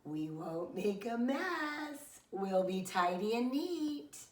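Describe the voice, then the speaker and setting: Woman playing earnest storybook child, polite, emphatic, drawn-out words, slightly distant from mic. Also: a little high, big pitch swings, voice pushed up. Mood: sweetly pleading. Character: young child narrator, polite, pleading, earnest and bossy about safety. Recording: roomy echo, away from the mic.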